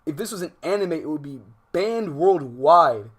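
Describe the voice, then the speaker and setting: A man's voice: on the high side, lively intonation, moderate pace, slightly rough. A man live-streaming a casual reaction, full of slang, speaking emphatically and incredulously and often repeating himself. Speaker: livestream host reacting to a video.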